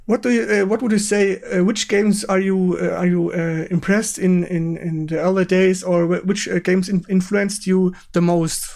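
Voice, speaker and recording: A man with a German accent, a non-native speaker, hosting a casual interview and haltingly searching for words. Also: sits high, flat delivery, vocal fry, darkish tone. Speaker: stream host interviewing a guest. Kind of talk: casual live-stream interview.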